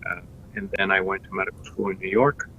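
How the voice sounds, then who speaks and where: A middle-aged man with a Cuban accent answering interview questions in a measured way, with frequent fillers and restarts. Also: deep voice, flat delivery, slight background noise. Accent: Cuban Spanish. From Cuba. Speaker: candidate guest. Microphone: video call. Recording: dull lo-fi remote call.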